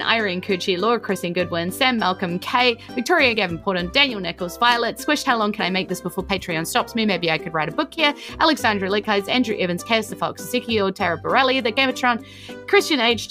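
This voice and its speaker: Kiwi woman podcast host, really bright voice, chatty and giggly, music behind her. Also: medium pitch. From New Zealand. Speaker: podcast host and game master. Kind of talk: casual podcast chat.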